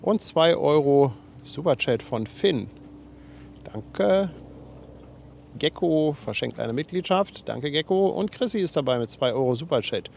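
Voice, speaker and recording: A man's voice: on the high side, expressive, measured pace, creaky, a little mellow, slight background noise. A man with a slightly runny nose from a cold, chatting casually as a livestream host and reading out viewer messages in a chatty, self-deprecating way. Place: outdoors on a harbour riverbank.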